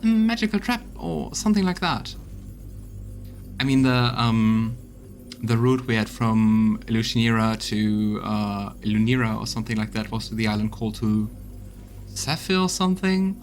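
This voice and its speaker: A man's voice: on the low side, animated, moderate pace. A male let's play host narrates and comments on a game as he goes. His talk is casual and unscripted between read lines, with music underneath.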